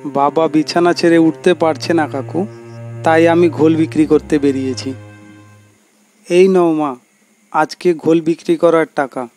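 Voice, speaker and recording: A man's voice: a little high, steady tone, measured pace, pauses often, darkish tone, soft background music, close to an everyday voice. A man voicing a dutiful, earnest village boy who sounds concerned for his poor family, in a cartoon. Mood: resolute.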